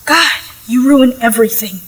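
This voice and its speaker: Woman playing a high-pitched, moody teenage daughter. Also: moderate pace, in a large hall, a little hiss or hum, lightly acted. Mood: sulky. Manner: dramatic, sulky. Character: moody, exasperated fifteen-year-old girl, rebellious daughter. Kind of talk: contemporary audio play acting.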